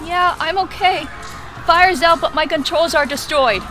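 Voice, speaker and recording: A woman's voice: very high-pitched, a little slow, sharp tone, faint music behind, heavily acted. An actress playing a shaken but game young female Ranger pilot in an audio drama, speaking earnestly and urgently. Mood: shaken, resolute.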